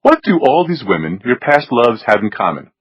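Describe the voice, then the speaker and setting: A man's voice: medium pitch, very animated, moderate pace, breathy edge, no room sound, lo-fi sound. A man voicing a haughty, pampered upper-class snob with precise, drawling diction, as an audiobook character. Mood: smug, precise.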